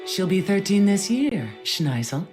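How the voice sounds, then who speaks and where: An actress playing a stern, proud warrior princess and military commander in an anime audio drama, clipped, businesslike and haughty. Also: female voice, medium pitch, very animated, measured pace, over music. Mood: restrained. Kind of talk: English-dub anime audio drama acting.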